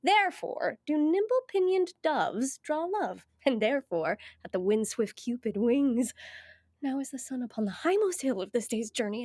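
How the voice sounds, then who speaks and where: A woman's voice: high voice, dry recording, studio quality. A woman acting an impatient, lovestruck young noblewoman in classical Shakespearean stage style, eager and pleading.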